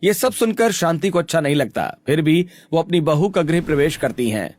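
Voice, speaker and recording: A man narrating a scripted animated story. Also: fairly high pitch, normal speed.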